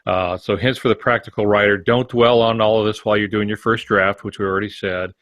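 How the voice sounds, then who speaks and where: A man's voice: on the low side, fairly even intonation, fairly quick, a bit bright, no echo. An older man co-hosting a podcast, running through practical tips in a conversational, instructive way. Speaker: podcast co-host. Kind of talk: conversational practical advice from notes.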